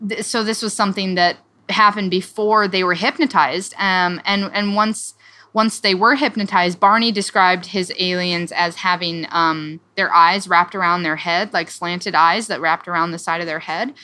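A woman's voice: lowish voice, steady tone, normal speed, pushed and bright. A young woman co-hosting a podcast, chatting casually as she summarizes a book, with lots of fillers and restarts. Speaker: podcast co-host.

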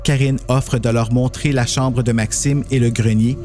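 A male narrator reads a dramatized story. His pitch is ordinary for a man, and music plays clearly under the voice. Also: fairly even intonation, moderate pace, darkish tone.

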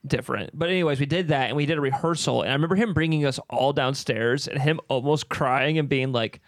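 A man's voice: medium pitch, quick pace, dry recording. A young man co-hosting a casual podcast, telling stories with lots of fillers and frequent laughs. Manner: rambling storyteller, laughs often, lots of fillers. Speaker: podcast co-host. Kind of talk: casual chat.

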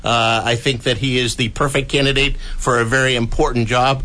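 A man's voice: medium pitch, little pitch movement, brisk, pushed and bright. A man appearing as a guest on a talk radio show, asking a question in a hesitant, filler-heavy way. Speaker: county treasurer, radio guest.

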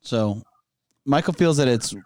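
A man's voice: medium pitch, expressive, measured pace, clean tone, no room sound. A man hosting a casual talk show with blunt, teasing banter.

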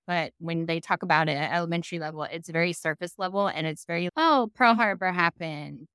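A woman's voice: lowish voice, steady tone, quick pace, fairly bright tone, clean tone, no echo, clean studio sound. A woman moderating a panel discussion in a conversational way, posing questions to guests.

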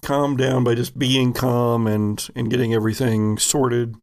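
A man narrating a tabletop game as game master, describing scenes in measured, searching phrases. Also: mid-range voice, flat delivery, a little mellow.

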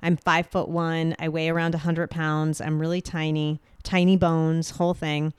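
A woman hosting a solo podcast, speaking directly and clearly with a booming voice. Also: flat delivery, normal speed, no echo, clean studio sound. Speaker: podcast host.

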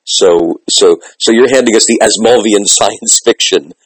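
A man interviewing someone conversationally, wordy and halting, often repeating words as he works toward his point. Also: lowish voice, husky, no echo, low-quality recording. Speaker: interviewer, host.

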